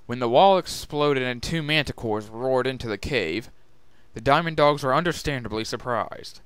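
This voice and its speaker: A man's voice: medium pitch, moderate pace, a bit bright, clean tone, no echo. A man narrating a scripted story as a dramatic reading. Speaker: narrator and reader.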